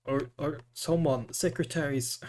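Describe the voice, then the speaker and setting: A man streaming a game, commenting casually and reading aloud in a halting way, stumbling over words. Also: a little high, darkish tone.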